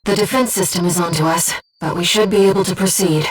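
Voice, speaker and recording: A woman playing an earnest, devoted young female spirit guide in a video game, speaking with composed, gentle precision as a mission operator. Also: fairly low pitch, fairly even intonation, fast, husky, recorded from a distance, faint music behind, poor audio. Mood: composed, informative. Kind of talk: scripted video game voice acting.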